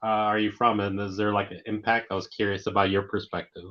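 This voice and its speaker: A man hosting a casual interview, speaking informally and haltingly, often repeating his words. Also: fairly low pitch, flat delivery, a bit bright. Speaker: interviewer, show host. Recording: dull lo-fi online audio.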